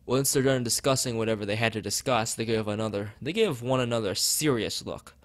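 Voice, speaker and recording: A man's voice: medium pitch, fairly quick, no room sound. A man streaming as he reads a visual novel aloud and chats with viewers, often stumbling and rereading phrases. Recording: background music behind voice.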